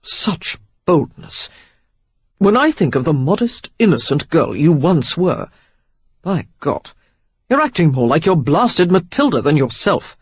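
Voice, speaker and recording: A woman's voice: deep voice, sing-song, measured pace, a little mellow, slightly rough, dry recording, heavily acted. A woman narrator voicing a stern, reproachful young man in an audiobook, speaking in clipped, chiding tones. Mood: stern, outraged. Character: stern, prudish young man, easily outraged. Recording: slightly dull lo-fi recording.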